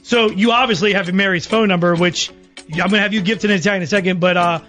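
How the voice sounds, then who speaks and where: A man's voice: high voice, fairly even intonation, quick pace, bright, forceful tone, faint music behind. A man hosting a radio phone segment with casual, informal banter, often repeating himself as he goes. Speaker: radio show host.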